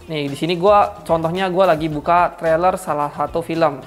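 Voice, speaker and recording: A woman's voice: deep voice, steady tone, normal speed, soft background music. A woman giving a chatty, slangy product review, talking straight to her viewers. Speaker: car audio reviewer.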